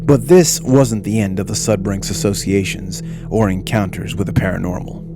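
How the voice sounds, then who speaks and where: A man's voice: lowish voice, moderate pace, over music. A man reading scripted narration for a mystery documentary.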